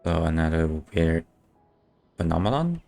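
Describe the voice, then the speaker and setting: A male streamer with a deep voice gives casual commentary while playing a game. He talks slowly and low-key, muttering brief reactions, with faint music behind him. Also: very animated, vocal fry, darkish tone. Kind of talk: casual live gameplay commentary.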